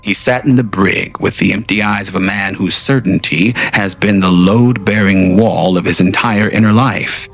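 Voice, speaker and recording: A man narrates a scripted audio drama with slow, deliberate solemnity. His voice is low and gravelly, and soft music plays faintly beneath him. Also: fairly even intonation.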